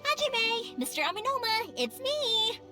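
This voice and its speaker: A woman voicing a cheerful, chatty and kind-hearted young fireworks maker in a video game, bubbly and expressive. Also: very high voice, measured pace, heavily acted. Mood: eager, pleading. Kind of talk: animated video game dialogue. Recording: music playing behind the voice.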